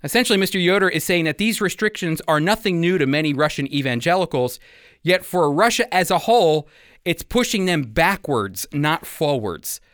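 A man's voice: fairly high pitch, lively intonation, normal speed, fairly bright tone, clear, resonant tone, dry recording, clean studio sound. A man hosting a religious radio program, speaking in an earnest, exhortative, conversational commentary style.

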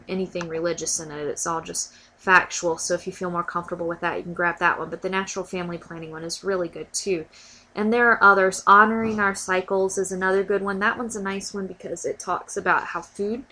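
Slightly stuffy woman podcast host. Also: fairly low pitch. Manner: warm, informal, repeats words while thinking. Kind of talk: casual educational podcast talk.

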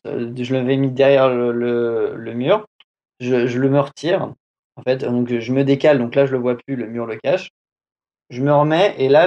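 A man from France recounts his trip in a relaxed, rambling way on a podcast. His voice sits at an ordinary pitch with a dark, mellow tone. He restarts and fills his sentences as he goes. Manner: rambling with many restarts and fillers. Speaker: guest reporting on a trip. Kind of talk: casual podcast chat.

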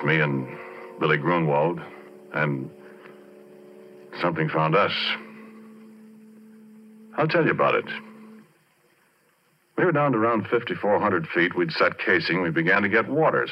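Low-voiced folksy old roughneck. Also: male voice. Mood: musing, ominous, then matter-of-fact. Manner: colloquial, conversational, addressing the listener directly. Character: retired oil-field roughneck, folksy, weathered storyteller. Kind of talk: 1940s radio drama first-person narration. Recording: muffled vintage 1940s radio recording.

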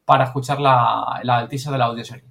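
A man's voice: medium pitch, fairly even intonation, quick pace, creaky, fairly bright tone. A man co-hosting a podcast in casual, colloquial chat. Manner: informal, colloquial. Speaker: podcast co-host.

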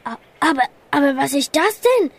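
A woman's voice: high voice, animated, moderate pace. A teenage girl with a Swiss German accent playing a vain, dramatic male lion in an amateur radio play. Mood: distressed.

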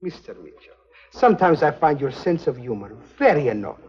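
Husky, excitable schemer. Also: male voice, fairly high pitch, brisk, heavily acted. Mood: annoyed, uneasy. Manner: excitable, formal, stammering under pressure. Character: sly, nervous Swiss innkeeper go-between selling a secret document. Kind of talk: 1950s television spy drama acting. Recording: dull 1950s TV film soundtrack.

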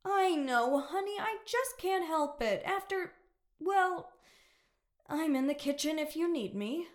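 Actress as overprotective mom in a family radio play, high voice, really up and down. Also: female voice, animated, slow pace, full character voice. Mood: motherly concern. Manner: sweet and fussing with children. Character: caring, overprotective mother working for police. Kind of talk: scripted family audio drama.

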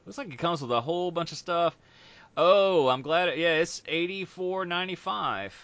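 Brief: male co-host, casual and meandering, bright tone, dull lo-fi audio. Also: fairly high pitch, normal speed, dry recording. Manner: rambling, relaxed, many fillers. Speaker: podcast host. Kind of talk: casual chat.

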